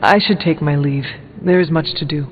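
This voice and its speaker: An actor playing a solemn, reserved warrior in a video game, speaking formally. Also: male voice, a little high, moderate pace, natural voice. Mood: guarded, grave. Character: solemn, reserved male demon-hunting warrior. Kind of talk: video game character dialogue. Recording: echoing hall, phone-like audio, faint music.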